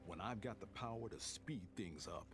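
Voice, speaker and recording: An actor with an American accent playing a principled, determined military officer, earnest and firm in game narration. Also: male voice, on the low side, normal speed, over music, natural voice. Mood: resolved. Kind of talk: scripted cinematic game narration.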